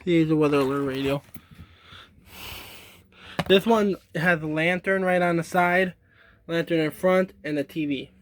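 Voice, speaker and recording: A man giving a casual, halting, informal show-and-tell demonstration as a hobbyist. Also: on the high side, slight background noise. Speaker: hobbyist demonstrating a radio collection.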